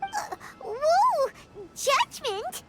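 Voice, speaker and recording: A woman voicing a tiny, excitable, childlike fairy companion in a video game, with a squeaky voice. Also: very slow, heavily acted. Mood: startled. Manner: speaks of herself in third person, exclamatory. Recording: music behind the voice.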